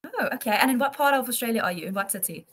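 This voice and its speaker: Bright-voiced young woman teaching English online. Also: on the high side, expressive, racing, breathy edge. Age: 20s. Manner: encouraging, affirming. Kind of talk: teaching a one-to-one online lesson.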